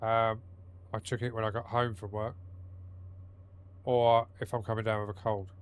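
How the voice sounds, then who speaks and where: A man's voice: lowish voice, level tone, normal speed, smooth. A man streaming a game from his home setup, talking casually with a blocked nose and a rambling, sweary manner. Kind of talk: casual live-stream chat while gaming.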